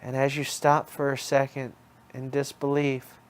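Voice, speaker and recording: A man's voice: mid-range voice, completely flat delivery, a little slow, clean tone. A man telling a guided relaxation story, repeating phrases in a soothing, hypnotic manner. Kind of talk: guided hypnosis imagery narration.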